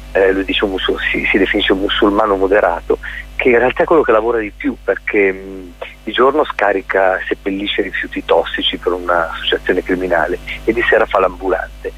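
A male theatre director and playwright being interviewed on radio, explaining his production in articulate lists with hesitant pauses. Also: low voice, fairly bright tone, slightly rough, muffled recording.

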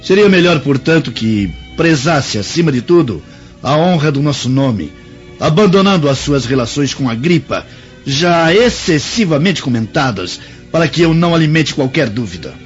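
An actor playing a proud, jealous Roman officer, haughty and declamatory in melodramatic radio drama style. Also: male voice, on the high side, sing-song, moderate pace. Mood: stern, reproachful. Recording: dull echoey radio drama, music behind.

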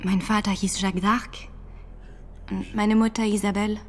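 A woman's voice: mid-range voice, flat delivery, normal speed, slight background noise, natural voice. An actress in a radio drama playing a devout, defiant nineteen-year-old peasant woman on trial, steadfast and plain-spoken in manner. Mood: steadfast, earnest.

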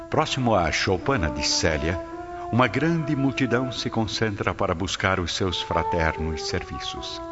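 Very deep Brazilian male radio-drama narrator. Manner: solemn, literary, measured phrasing. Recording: dull lo-fi audio under loud music.

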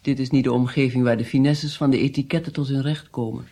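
A woman's voice: a bass voice, fairly even intonation, fast, warm tone. A woman playing a haughty, commanding princess in a radio drama. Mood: haughty, brisk. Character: imperious, brisk pale noble princess.

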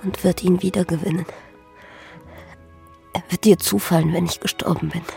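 A woman's voice: fairly low pitch, fairly even intonation, normal speed, creaky, mellow, soft background music. An actress playing a dying young peasant woman in a radio play, sorrowful and bitter, with a weak, whispery voice and solemn delivery. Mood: grave, confiding. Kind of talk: German radio play acting.

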